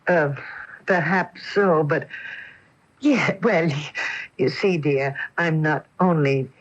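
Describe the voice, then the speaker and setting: A woman's voice: deep voice, takes it slowly. An actress playing an extremely old, genteel landlady in a radio drama, courteous, fussy and hesitant. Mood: polite, hesitant. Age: older. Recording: dull lo-fi radio broadcast tape.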